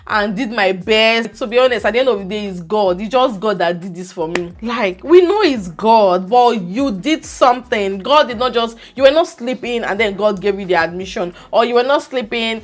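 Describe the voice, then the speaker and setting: Animated Nigerian woman scolding her viewers. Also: mid-range voice, moderate pace, hardly any pauses. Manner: animated, scolding, pidgin words mixed in. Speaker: vlogger. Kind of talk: casual opinionated vlog monologue.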